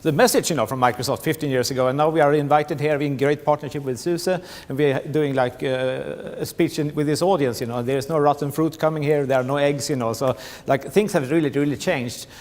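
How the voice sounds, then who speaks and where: A man's voice: on the high side, fairly quick, hardly any pauses. A middle-aged man with a Swedish accent gives a conference presentation, restarting phrases and repeating words, often saying "you know". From Sweden. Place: large conference hall. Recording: long hall reverb.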